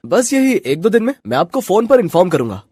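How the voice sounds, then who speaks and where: A man playing a smooth-talking, smug fake journalist in a cartoon story. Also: high-pitched, very animated, quick pace, a little mellow, exaggerated voice. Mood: falsely polite. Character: slick, scheming fake male journalist in a cartoon.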